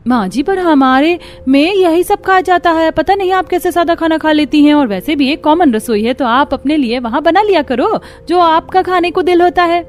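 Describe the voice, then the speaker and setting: A woman's voice: very high-pitched, moderate pace, hardly any pauses. A woman voicing a haughty, curt elder sister-in-law in a cartoon family drama. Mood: defiant. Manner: curt, snippy. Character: haughty, irritable elder sisters-in-law, defiant about cooking.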